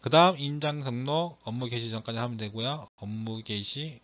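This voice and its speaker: A man's voice: medium pitch, moderate pace, a bit bright. Slightly strained male lecturer, muffled recording. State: slightly strained voice from throat trouble. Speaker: exam lecturer teaching real estate broker law. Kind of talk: lecture reading out quiz questions and explaining answers. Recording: dull, muffled lo-fi audio.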